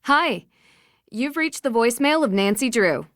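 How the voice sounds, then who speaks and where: A woman's voice: on the high side, animated, a little slow, a bit bright, dry recording, clean studio sound. A woman voicing a young American private investigator in a video game, friendly, earnest and inquisitive. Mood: polite, inquisitive. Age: young adult. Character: polite, inquisitive young woman detective, eager and considerate. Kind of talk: scripted video game dialogue.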